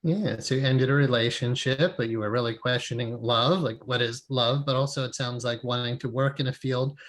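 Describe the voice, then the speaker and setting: A man's voice: mid-range voice, fairly even intonation, fairly quick, fairly bright tone. A man hosting an interview, asking supportive, reflective questions over a video call. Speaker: podcast host.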